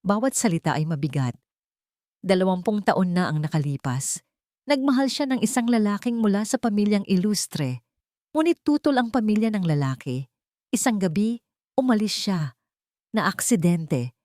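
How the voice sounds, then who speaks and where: A woman's voice: medium pitch, expressive, moderate pace, long pauses, darkish tone, dry recording, studio quality. A woman reading a scripted romantic drama as a storyteller. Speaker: narrator.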